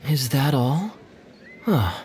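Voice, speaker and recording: An actor playing a suave, teasing, calculating male captain in a video game, with a wry, smooth-talking manner. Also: mid-range voice, big pitch swings, takes it slowly, a little mellow, slight background noise. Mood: cool, amused. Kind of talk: video game character dialogue. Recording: music under the voice.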